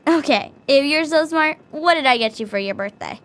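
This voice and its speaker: A woman's voice: high voice, expressive, moderate pace, fairly bright tone. A woman playing a friendly, teasing young girl in a video game, with a playful, cheerful manner. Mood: playful, pleased. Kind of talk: video game character acting.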